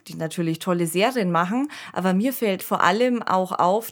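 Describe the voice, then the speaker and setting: A woman co-hosting a podcast, talking enthusiastically and colloquially in a conversational discussion. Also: mid-range voice. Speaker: podcast co-host. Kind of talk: conversational discussion of science fiction.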